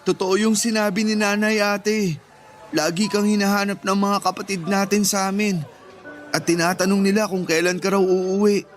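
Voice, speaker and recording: A man playing a young adult younger brother in a radio drama, soft-spoken and earnest. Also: high voice, little pitch movement, moderate pace, natural voice. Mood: sad. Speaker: younger sibling. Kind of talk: Tagalog radio drama acting. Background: soft background music.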